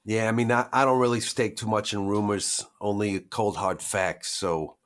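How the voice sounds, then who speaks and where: A man playing a nervous, hesitant, self-deprecating vampire informant with halting, folksy streetwise talk in a roleplaying game. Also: fairly low pitch, fairly even intonation, lightly acted. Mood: hedging. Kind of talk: improvised in-character roleplay.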